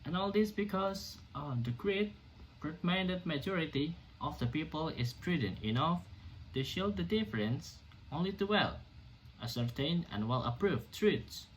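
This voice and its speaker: A man playing a defiant, indignant doctor orating to a hostile crowd in an amateur dramatic reading of a stage play, emphatic and sometimes halting. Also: on the high side, animated, a little hiss or hum, pitched above the performer's own voice, rough recording. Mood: lecturing, scornful. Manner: emphatic, declamatory, halting in places.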